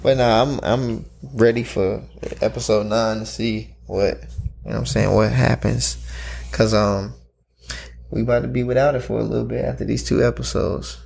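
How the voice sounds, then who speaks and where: A man co-hosting a casual chat, talking in an animated, ranting, slang-heavy way. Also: medium pitch, normal speed, gravelly. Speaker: podcast co-host.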